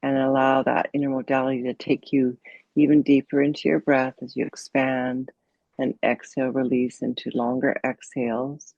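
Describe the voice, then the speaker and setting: A woman meditation coach leading a guided meditation in a soothing, unhurried, gently instructive manner with long pauses. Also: a bass voice, level tone, vocal fry, no echo, muffled recording.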